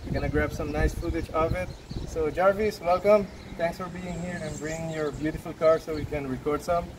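Male vlog host, thirties, fairly high voice, casual delivery, some outdoor background noise. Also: steady tone, brisk. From Aruba. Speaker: car vlogger, host. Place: outdoors at open garage and yard.

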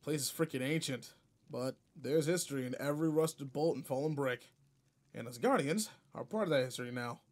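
A man's voice: mid-range voice, brisk, darkish tone, close to an everyday voice. A man playing a seasoned but laid-back space guardian mentor in a video game roleplay, casual, slangy and wry as he talks to a partner. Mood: reflective. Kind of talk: video game roleplay audio, in-character monologue.

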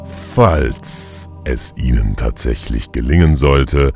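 A man's voice: extremely low pitch, slow, darkish tone, soft background music, exaggerated voice. A man playing a sardonic, menacing god of the underworld in an audio drama, with dry sarcasm. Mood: dry, sarcastic. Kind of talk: German audio drama acting.

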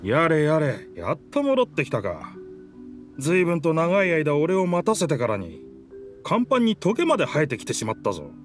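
A man's voice: a little high, huge pitch swings, normal speed, soft background music. A man voicing a proud talking pirate ship in a video game, with boastful, theatrical, old-fashioned speech. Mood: rueful, theatrical. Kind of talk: Japanese video game character dialogue.

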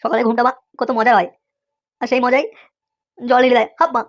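A woman's voice: on the high side, level tone, a little fast, lo-fi sound, close to an everyday voice. A woman voicing a lazy, whiny schoolboy rascal in a comic cartoon, pleading and full of exclamations. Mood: boastful, grumbling.

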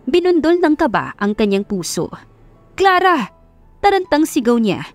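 A woman's voice: a little high, big pitch swings, normal speed, a little mellow. A woman delivering scripted, dramatic narration of a love story as its narrator. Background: background music.

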